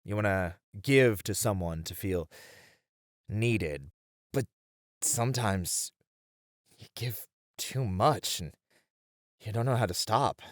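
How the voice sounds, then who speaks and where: A man's voice: fairly low pitch, slow pace, pauses often, no room sound, clean studio sound. A man playing a thoughtful game developer, candid and casual, uneasy yet eager, in an ASMR roleplay. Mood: uneasy, reflective. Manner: casual, hesitant, expressive.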